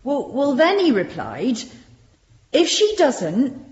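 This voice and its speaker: A woman's voice: high-pitched, huge pitch swings, takes it slowly, a little mellow, a little hiss or hum, heavily acted. An actress playing a nervous, deferential Victorian servant woman, earnest and meek, in a British radio drama. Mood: agitated. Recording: muffled, echoey room recording.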